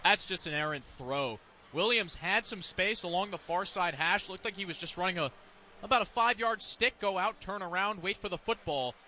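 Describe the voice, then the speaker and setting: A man's voice: high voice, moderate pace, pushed and bright, slight background noise. A man with an American accent giving live color commentary on football in an explanatory, conversational way.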